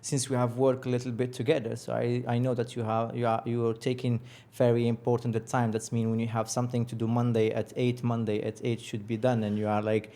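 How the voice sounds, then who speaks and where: Moroccan-accented man, mid-pitched interviewer. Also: monotone, brisk, hardly any pauses, warm tone. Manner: non-native phrasing, rephrases questions. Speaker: podcast host, interviewer. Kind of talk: casual business interview.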